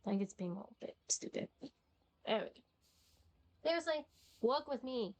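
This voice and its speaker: A woman's voice: a little high, lively intonation, moderate pace, a little mellow, dull audio. A woman live-streaming a game, chatting casually and muttering asides to herself.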